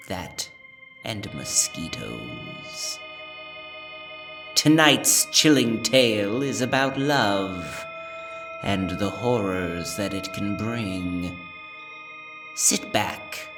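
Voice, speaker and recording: A man puts on a theatrical horror anthology host. His low voice moves at a crawl, ominous and wry, as he introduces the show over clear background music. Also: heavily acted.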